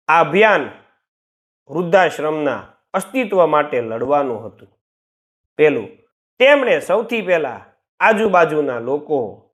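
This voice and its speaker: Male storyteller, moral tale narration, expressive, wide pitch swings, slightly high voice.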